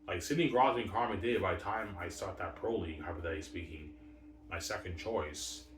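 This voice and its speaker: A man with a deep voice rambles casually and boastfully, circling back over the same phrases. He starts phrases with emphatic bursts and lets them trail off. The recording is echoey and a little distant from the mic, with faint noise. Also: fast talker. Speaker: vlogger. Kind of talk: rambling casual hockey talk.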